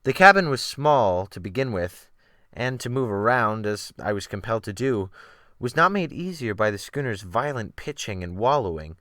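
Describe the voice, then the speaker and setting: Male narrator for classic fiction: theatrical, deliberate phrasing, animated intonation, medium pitch, dry close sound. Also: no room sound. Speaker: audiobook narrator. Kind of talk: dramatic reading of classic fiction.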